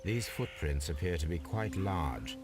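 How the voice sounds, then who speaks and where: An actor playing a calm, analytical gentleman detective in a video game, musing aloud in measured, precise deductions. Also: low-pitched, over loud music. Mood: observant. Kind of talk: video game character voice acting, deliberate deductive monologue.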